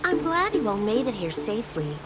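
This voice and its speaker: A woman's voice: fairly high pitch, big pitch swings, a little fast. An actress playing a welcoming villager in a video game, polite and quaintly old-fashioned in her phrasing. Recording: loud music behind the voice. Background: music.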